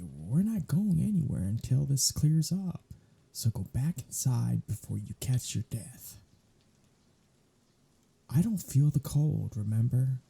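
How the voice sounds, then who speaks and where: A man's voice: medium pitch, vocal fry, dark tone, slightly rough, exaggerated voice. A man playing a sardonic, weary vampire in an ASMR audio roleplay, talking in a flippant, conversational way. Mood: snarky.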